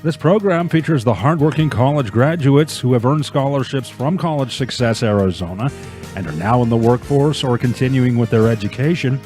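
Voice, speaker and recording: A man of middling pitch hosts the program and leads the interview. He asks in a measured, politely probing way. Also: a little mellow, soft background music. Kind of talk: interview hosting.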